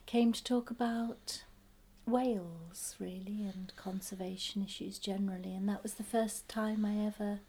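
Husky, creaky woman with a flat voice. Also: mid-range voice, moderate pace. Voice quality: breathy, partly whispered. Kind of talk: personal recollection.

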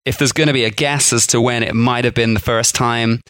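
A man's voice: medium pitch, steady tone, fairly quick, fairly bright tone, dry recording, studio quality. Chatty male podcast co-host. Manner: chatty, laughs at himself, tries accents. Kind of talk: casual food history chat.

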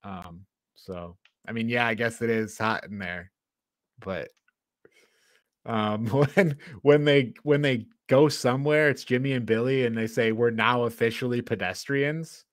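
Male podcast co-host for casual review banter; blunt, exaggerated complainer, mid-pitched, dry sound. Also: steady tone, unhurried, no echo. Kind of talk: casual film review chat.

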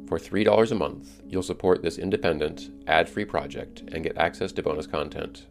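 A middle-aged man reading scripted podcast narration in an earnest, deliberate way. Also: deep voice, creaky, over music. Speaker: podcast host.

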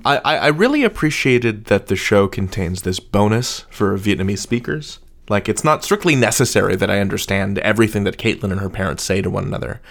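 Lowish-voiced male interviewer. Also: animated, brisk, creaky, hardly any pauses, fairly bright tone. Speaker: interviewer, host. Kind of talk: conversational interviewing.

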